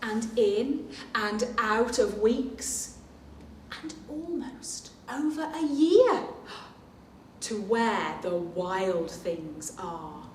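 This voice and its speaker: A woman reading a children's picture book aloud at story time as a librarian, animated and expressive, pausing for asides and questions to young listeners. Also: medium pitch. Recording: echoey room, distant mic, faint noise.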